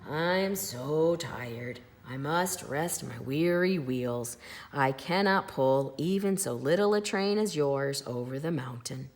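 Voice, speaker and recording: A woman voicing a weary old male steam engine in a storybook, with slow, sighing, breathy speech that fades toward a whisper. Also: low voice, animated, big-room sound, voice pushed down, heavily acted. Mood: exhausted, sighing. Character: weary rusty old male train engine, gloomy and kind-voiced.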